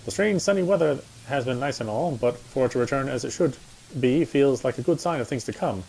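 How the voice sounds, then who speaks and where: Guy reading a horror visual novel out loud, first person, dramatic, medium pitched voice. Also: lively intonation, fairly quick, gravelly, a little hiss or hum, low-quality recording.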